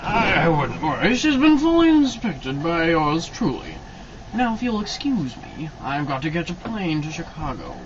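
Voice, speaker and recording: A man's voice: a little high, very animated, a little slow. A man playing a cheerful, formal announcer type in an old-time radio drama. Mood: breezy. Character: breezy announcer and deferential airship crewman. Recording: muffled mic, clear background noise.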